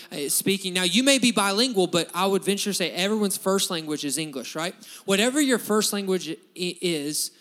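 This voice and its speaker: A man's voice: a little high, a bit bright. A man preaching a sermon in an emphatic, building manner, often restarting his phrases. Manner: emphatic, repetitive restarts, rising bursts of intensity. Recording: long hall reverb.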